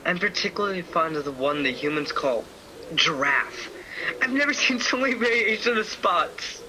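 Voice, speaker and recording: A man's voice: high voice, animated, moderate pace. A man playing an earnest, loyal guardian angel, conversational in delivery, in a radio play. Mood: admiring wonder. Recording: noisy home recording with ambience.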